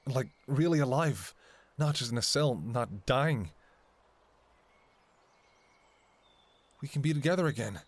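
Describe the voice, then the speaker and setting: A man's voice: medium pitch, animated, moderate pace, no echo, lightly acted. A man playing a devoted, anxious doctor talking to a lover in an intimate ASMR audio roleplay, with a hesitant, emotionally raw delivery. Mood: tender, hopeful.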